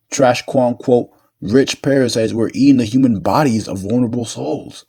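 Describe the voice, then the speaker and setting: Male online commentator, mid-pitched, preachy and insistent, hammering points through repetition in grandiose language. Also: darkish tone. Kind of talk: impassioned opinion monologue.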